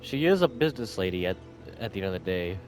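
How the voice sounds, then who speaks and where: A man's voice: deep voice, big pitch swings, fast, a little mellow, poor audio. A man giving casual let's play commentary on a video game. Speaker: gamer commenting on gameplay. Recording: game music behind.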